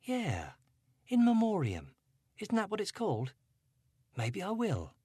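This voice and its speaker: A man voicing a grieving teenage girl from a storybook, sulky and guarded under a sweet streak. The voice sits high above his own and swings about in pitch, soft and a touch breathy, on a dry recording. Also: huge pitch swings, slow, no echo, full character voice. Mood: brightening.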